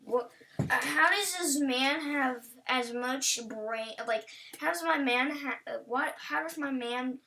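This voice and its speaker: Female reaction host: high, bright voice, playful casual rambling, recorded a little far from the mic. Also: takes it slowly, a little hiss or hum. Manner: rambling, playful, talks to the on-screen character.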